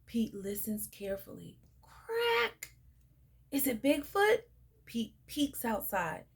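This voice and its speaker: A young woman reading a picture book aloud to children, sing-song and pausing to ask the listener questions. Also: a little high, wildly swooping pitch, gravelly, slightly rough. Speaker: storyteller.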